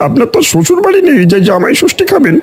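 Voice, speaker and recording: A man's voice: extremely high pitch, sing-song, racing, a little mellow, soft background music, full character voice. A man voicing an eager, cheeky village fish seller in a cartoon, with deferential sales patter. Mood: eager, persuasive. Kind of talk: cartoon story dialogue.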